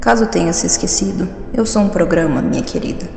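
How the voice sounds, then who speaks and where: A sentient program voiced by a woman speaks in a low, mellow female voice for an audio drama. She is formal, measured and quietly sly, with gravel at the edges, in a reverberant space. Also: animated, faint music behind. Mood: composed, cool. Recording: echoey reverberant dream-space effect.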